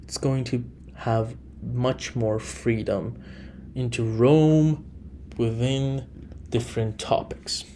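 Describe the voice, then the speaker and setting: A man from Iran, a native Farsi speaker with an Iranian accent, hosting a solo podcast in an unhurried way, often restarting and correcting himself. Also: mid-range voice, steady tone, dark tone, a little hiss or hum. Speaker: podcast host.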